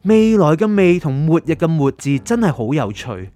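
A man's voice: fairly high pitch, big pitch swings, moderate pace, mellow. An actor with a Hong Kong Cantonese accent playing an anxious, curious male writer who narrates his story introspectively in a radio drama. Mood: pensive. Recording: music under the voice.

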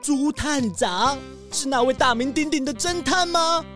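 一位女演員在兒童廣播劇中扮演一隻不慌不忙、隨和的公穿山甲。. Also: female voice, high-pitched, a little slow, a bit bright, faint music behind. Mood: impressed. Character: unhurried, laid-back male pangolin tourist. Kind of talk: children's detective audio drama acting.

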